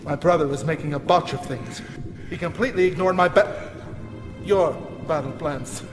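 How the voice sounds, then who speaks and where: A male actor plays a jealous, scheming heir in a game cutscene. His voice is a little high for a man, oily and defensive, with some hall reverb and clear music behind it. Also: normal speed, close to an everyday voice. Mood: boastful, defensive. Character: scheming, jealous adult son of a baron. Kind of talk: video game cutscene acting.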